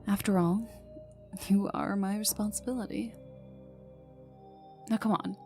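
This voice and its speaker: Woman acting cocky young villainess, creaky and intimate. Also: mid-range voice, steady tone, unhurried, dark tone, close to an everyday voice. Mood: teasing reassurance. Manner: teasing, smirking, casual drawl lapsing into whispers. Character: young female villain enforcer, cocky, teasing, gruffly protective. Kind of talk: intimate ASMR audio roleplay to the listener. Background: ambient music.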